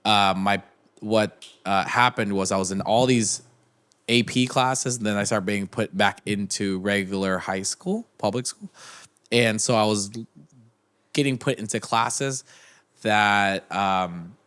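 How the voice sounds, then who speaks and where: A young man talking casually as a podcast guest, with a fairly low voice. He rambles, circling back with restarts and filler words as he thinks aloud. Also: measured pace, fairly bright tone, clean tone. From United States. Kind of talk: casual chat.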